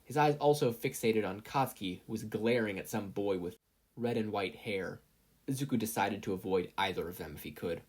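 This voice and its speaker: A story narrator reading scripted fiction in an expressive storytelling manner. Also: low-pitched, moderate pace, husky. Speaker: podfic reader and narrator.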